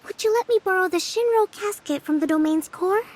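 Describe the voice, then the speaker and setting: A woman voicing a gentle, thoughtful young female general who reasons aloud in a measured, composed way for a video game. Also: very high voice. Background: faint music.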